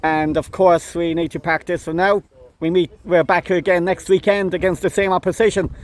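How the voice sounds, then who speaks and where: High-voiced Irish club interviewer. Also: male voice, flat delivery, fairly quick. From Ireland. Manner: hesitant, many "um"s. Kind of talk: post-match interview questions.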